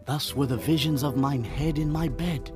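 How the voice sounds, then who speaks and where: Male actor for imperious ancient monarch, scripture audio drama. Stately, declamatory, higher-set male voice, strong music bed. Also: normal speed, close to an everyday voice. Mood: solemn, troubled. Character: proud, commanding ancient Babylonian king. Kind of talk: dramatized scripture reading, archaic English.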